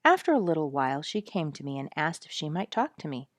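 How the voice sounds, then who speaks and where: A woman's voice: deep voice, very animated, fast talker, dry recording, studio quality. A woman storyteller voicing an emotional, self-deprecating and devoted mother in an earnest, dramatic way. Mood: warm, confiding.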